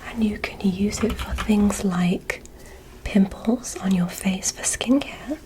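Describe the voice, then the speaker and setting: Girl doing gentle curious alien examiner for asmr, all whispery n really slow, soothing. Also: medium pitch, close to an everyday voice, rough recording. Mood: soft, encouraging. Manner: slow, soothing, instructive, encouraging. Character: gentle, curious female alien examiner visiting from another planet.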